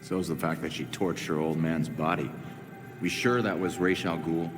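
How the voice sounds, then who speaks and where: An actor playing a tough, brooding young American vigilante in a video game, blunt and slangy. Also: male voice, sits low, steady tone, normal speed, music in the background, close to an everyday voice. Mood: gruff, blunt. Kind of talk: video game cutscene acting.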